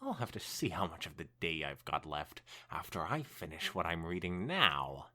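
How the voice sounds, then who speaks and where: Man as a skeptical bookish pony, low-voiced. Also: very animated, moderate pace, pushed and bright, full character voice. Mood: noncommittal. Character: skeptical, bookish female pony friend, cautious and kind. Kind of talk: fan fiction dramatic reading.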